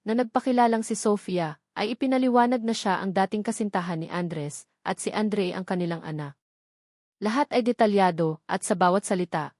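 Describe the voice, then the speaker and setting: A woman's voice: mid-range voice, a little mellow, no echo, clean studio sound. A woman reading a scripted dramatic love story as a narrator.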